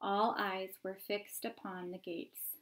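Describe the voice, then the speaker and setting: A woman's voice: mid-range voice, steady tone, slow, fairly bright tone, low-quality recording. A woman in her thirties reading a children's book aloud as narrator. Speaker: reader. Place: indoor room at home by a window.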